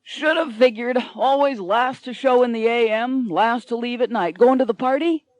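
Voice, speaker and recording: A woman's voice: a little high, moderate pace, a little mellow, no room sound, pitched above the performer's own voice, close to an everyday voice. A woman plays a friendly security guard, a man who teases in an easygoing way. The sound is dry and close. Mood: teasing. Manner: easygoing, teasing.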